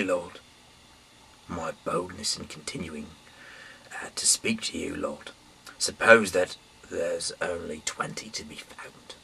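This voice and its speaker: A man reading scripture aloud informally in a halting way, repeating and stumbling over words, with a raspy, breathy voice that often trails off. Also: sits low, pushed and bright, slight background noise, low-quality recording. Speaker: amateur Bible reader.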